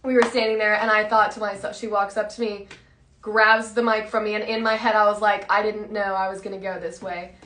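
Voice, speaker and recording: A young woman, the host's daughter, chatting casually and telling animated stories full of "like" and "literally.". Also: medium pitch, brisk, echoey room. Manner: animated storyteller, says "like" and "literally" often. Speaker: co-host, daughter of the host. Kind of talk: casual chat.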